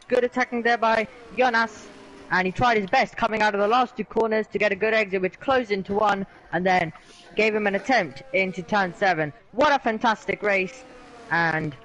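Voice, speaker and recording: A man's voice: high voice, moderate pace, fairly bright tone, lo-fi sound. A man summing up a sim race as co-commentator, in a thoughtful, slightly hesitant way. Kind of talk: race analysis.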